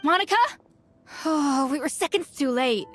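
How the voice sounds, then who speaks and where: Very high-pitched feisty young heroine. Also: female voice, normal speed, fairly bright tone, soft background music. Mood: grave. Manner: emphatic, exclamatory. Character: feisty, sharp-tongued young woman chef heroine. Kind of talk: video game cutscene acting.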